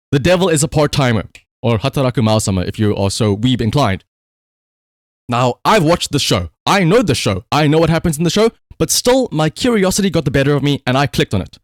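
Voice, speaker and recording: A man's voice: medium pitch, no room sound, studio quality. A man livestreaming casual commentary, telling a story conversationally with rhetorical asides.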